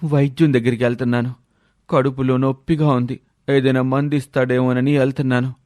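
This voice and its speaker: A man's voice: mid-range voice, flat delivery, fairly quick, a little mellow, dry recording, studio quality, natural voice. A man playing a lazy village husband who complains and wheedles his way out of things in a storybook tale. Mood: making excuses. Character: lazy, whining village husband full of excuses. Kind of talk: storybook character voice.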